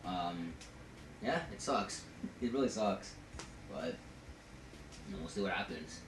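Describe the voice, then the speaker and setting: A man's voice: low-pitched, expressive, takes it slowly, noisy background. A man co-hosting a podcast, chatting casually about pop culture in informal, slangy language with some swearing. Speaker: podcast co-host. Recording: echoey room, distant mic, music behind. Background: music.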